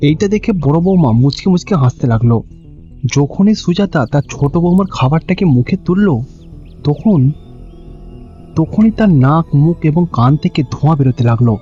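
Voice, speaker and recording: A man's voice: on the high side, expressive, moderate pace, mellow. A man with a Bengali accent reading scripted narration for a cartoon story. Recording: dull, lo-fi audio with music behind.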